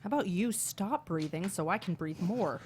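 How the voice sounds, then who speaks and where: A woman's voice: fairly low pitch, animated, moderate pace, a little mellow, a little hiss or hum, close to an everyday voice. A woman playing a haughty, fussy, dramatic butterfly in a comedy table read. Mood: panicky, put-upon. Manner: theatrical, fussy, exclamatory.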